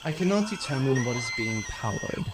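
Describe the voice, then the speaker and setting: A man's voice: medium pitch, very animated, a little fast, noisy background, natural voice. A man playing a formal, literal-minded ship's computer that gives exact reports in a comic sci-fi audio drama, in a strongly echoing sound. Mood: formal, dutiful.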